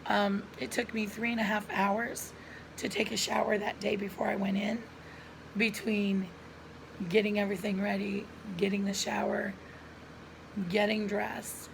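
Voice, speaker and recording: Hoarse, gravelly middle-aged woman vlogger. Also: medium pitch, level tone, unhurried, background noise. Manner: earnest, chatty, addresses viewers directly. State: hoarse, coughing. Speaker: lifestyle vlogger. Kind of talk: casual personal storytelling to camera.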